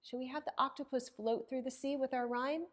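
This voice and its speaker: A woman librarian hosting an interactive story time for young children, expressive and sing-song, asking questions and pausing for answers. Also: high voice.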